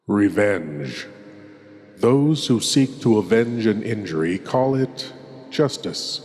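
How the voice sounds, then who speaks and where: A man as a courtly, sinister horror host, narrating theatrically with ominous dramatic pauses. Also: lowish voice, darkish tone. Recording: long cavernous reverb.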